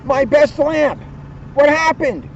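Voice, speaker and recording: Upset mother bear, acted by a man, high and emphatic, children's read-aloud, outdoor vehicle noise behind. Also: slow pace, vocal fry, dull audio, full character voice. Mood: dismayed. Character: dismayed adult mother bear questioning her cubs.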